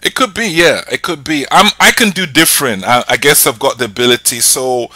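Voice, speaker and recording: A man's voice: mid-range voice, a little fast, no room sound. A man with a London English accent hosting a casual podcast chat, telling stories animatedly. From England. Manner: switches accents playfully, animated storyteller. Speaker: podcast host.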